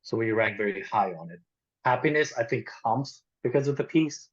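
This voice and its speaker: A man's voice: mid-range voice, moderate pace, breathy edge. A young man from Nepal with a Nepali accent, talking candidly and casually as an interview guest, with lots of "like" and "you know". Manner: relaxed, candid, uses "like" and "you know" often. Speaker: guest, e-commerce marketer. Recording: dull lo-fi audio.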